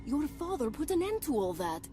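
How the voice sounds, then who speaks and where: A woman's voice: sits high, big pitch swings, moderate pace. A woman playing a sarcastic, playful ghostly female companion in a video game, teasing and theatrical. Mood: wry. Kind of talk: video game cutscene acting. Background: game music.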